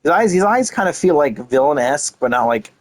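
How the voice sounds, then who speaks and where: A man's voice: a little high, very animated, a little fast. A young man giving casual, rambling game commentary with enthusiasm, often repeating himself. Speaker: game streamer.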